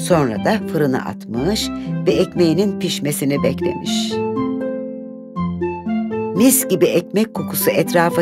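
A woman giving scripted narration of a children's fairy tale. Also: low voice. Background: music.

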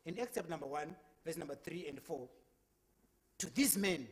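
A man's voice: a little high, expressive, normal speed. A young man speaking as a preacher in a public debate, earnestly quoting scripture at length from a lectern microphone in a lecture hall. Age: young adult. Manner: earnest, quotes verses at length, repeats words when restarting. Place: lecture hall, at a lectern before a brick wall.

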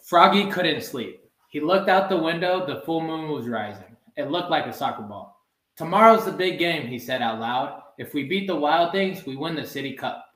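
A man's voice: a little high, expressive, a little slow, fairly bright tone, slight background noise. A young man in his twenties reading a children's story aloud at a storytime. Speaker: soccer goalkeeper reading a children's book. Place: small room with blinds, indoors. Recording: roomy indoor webcam sound.

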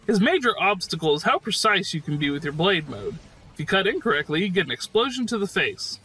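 Upbeat, lively male game commentator. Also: high-pitched, a little fast, a little hiss or hum, rough recording. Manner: enthusiastic, jokey. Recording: voice-over with game music behind.

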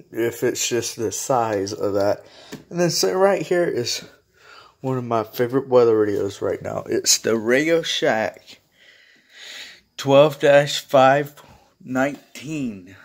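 A man chatting casually and haltingly as he films a home vlog. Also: medium pitch. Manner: halting, informal. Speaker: video creator showing a weather radio collection. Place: small room at home, indoors. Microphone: handheld phone or camera held close.

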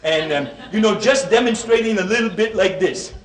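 Jokey Polish-accented health speaker. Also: male voice, high-pitched, moderate pace. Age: middle-aged. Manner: jokey, addresses the audience as "my friends". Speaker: health educator. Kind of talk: comedic motivational talk. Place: meeting room with a seated audience. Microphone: stand mic at a distance. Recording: roomy hall, distant mic, music behind.

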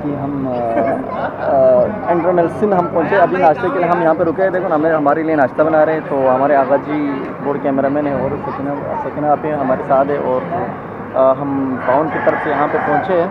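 A man's voice: a little high, steady tone, a loud crowd. A young man on a trip, casually chatting and chiming in. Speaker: fellow traveler. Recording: muffled phone audio with loud noise.

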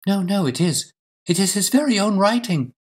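A man's voice: medium pitch, brisk, pitched above the performer's own voice, close to an everyday voice. A man voicing an earnest, composed but anxious Victorian wife in an audiobook, with formal, insistent, precise delivery. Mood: earnest, pleading. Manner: formal, emphatic, precise diction. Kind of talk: audiobook character dialogue.

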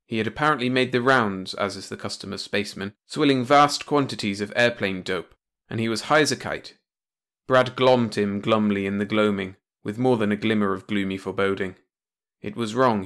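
A man's voice: fairly low pitch, fairly bright tone. A man reading a comic pulp science-fiction short story as scripted audiobook narration.

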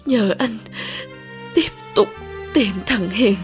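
Slow, frail, tearful mother. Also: on the high side, soft background music. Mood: weak, grieving. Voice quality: weak, tearful. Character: frail, ailing, loving mother, sorrowful. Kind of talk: animated folk tale voice acting.

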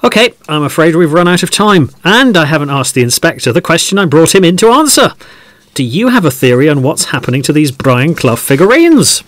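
Guy acting as a mild wordy podcast host, polite and formal, highish voice, close dry recording. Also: very animated, fairly quick, fairly bright tone, no room sound. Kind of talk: audio drama staged as a podcast.

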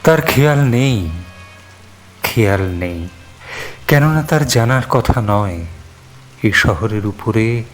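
A man with a fairly low voice recites poetry in a solemn, mournful style. His delivery is very slow and dramatic, leaving long pauses between phrases. Faint music and the sound of rain run underneath. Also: a bit bright. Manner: sorrowful, solemn, with lingering pauses and repeated phrases. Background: music and falling rain.

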